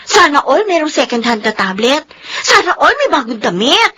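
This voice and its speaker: A woman's voice: high voice, sing-song, moderate pace, bright, forceful tone, heavily acted. An actress with a Filipino accent playing a resentful, envious schoolgirl daughter in a radio drama, whiny and self-pitying. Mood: tearful outburst. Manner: whiny, sulky.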